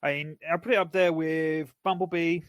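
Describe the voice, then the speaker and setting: A man's voice: a little high, fairly even intonation, normal speed, no room sound, clean studio sound. A man co-hosting a podcast, chatting casually in a laid-back, agreeable way. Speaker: podcast co-host. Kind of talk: casual chat about films.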